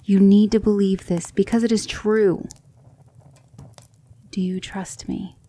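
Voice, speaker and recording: A woman playing a gentle, patient demon mentor in an ASMR roleplay, coaxing her student with soft, deliberate instruction that often drops toward a whisper. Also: medium pitch, level tone, a little hiss or hum, close to an everyday voice. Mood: hushed, coaxing. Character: gentle female demon mentor teaching magic, patient, reassuring.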